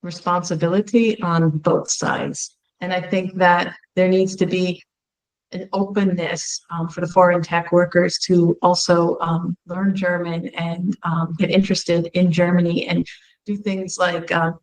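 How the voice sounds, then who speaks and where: A woman speaks in a slightly low register. She sounds like an interview host sharing her own opinions, conversational and earnest in delivery. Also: flat delivery, a little slow, darkish tone, low-quality recording. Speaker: host, company founder.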